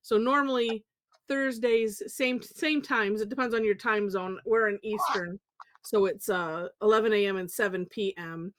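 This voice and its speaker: A woman's voice: mid-range voice, normal speed, a bit bright, slight background noise. A woman hosting an online drawing class from home on a video call, chatty and a little scattered, with frequent exclamations. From Michigan, USA. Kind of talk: casual online class chat.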